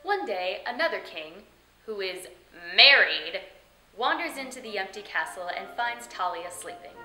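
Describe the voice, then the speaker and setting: A woman's voice: mid-range voice, sing-song, moderate pace, bright, forceful tone. A woman hosting with scripted comic narration in a theatrical, tongue-in-cheek manner. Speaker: host and storyteller. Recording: roomy echo, faint music behind.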